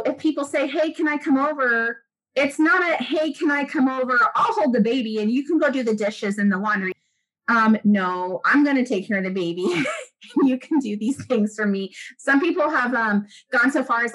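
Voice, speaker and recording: Woman, high voice, earnest chatty guest talking frankly with plenty of "you know"s. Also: fairly bright tone, low-quality recording. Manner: earnest, chatty, lots of fillers. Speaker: guest, breastfeeding expert. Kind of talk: casual interview talk.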